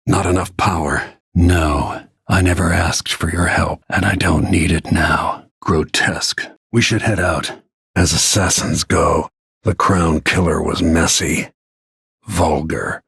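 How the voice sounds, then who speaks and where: A grim weary middle-aged assassin for a video game, really low husky voice, terse and restrained. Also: male voice, fairly even intonation, slow pace, fairly bright tone, dry recording, clean studio sound, heavily acted. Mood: bitter, menacing. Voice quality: gravelly, husky. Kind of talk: scripted game voice lines, short dramatic remarks.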